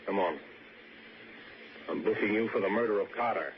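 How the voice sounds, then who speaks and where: An actor playing a hard-boiled, sceptical police detective with a pressing, sardonic manner in a 1960s radio drama. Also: male voice, fairly low pitch, normal speed, lightly acted. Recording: dull, noisy old-time radio recording.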